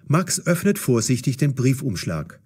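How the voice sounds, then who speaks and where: A man narrating an audio story for language learners with measured, clearly enunciated reading. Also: mid-range voice, sing-song, a little mellow.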